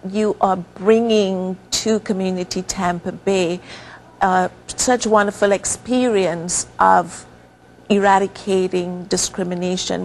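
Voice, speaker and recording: A woman hosting a community TV interview, speaking in a gracious, measured and complimentary way. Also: medium pitch, creaky. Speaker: TV interview host. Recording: noticeable background noise.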